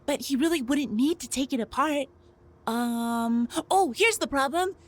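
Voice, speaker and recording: A woman's voice: high-pitched, a little slow. A woman playing an irritable, proud robot girl engineer, snippy and sarcastic, in a sci-fi audio drama. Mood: focused, suspicious.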